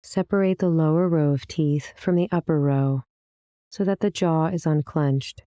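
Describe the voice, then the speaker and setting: A woman with a low, smooth voice teaches guided meditation. Her delivery is calm and measured, with gentle instructions. The recording is dry and close, without room sound. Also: no echo. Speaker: meditation teacher, series host.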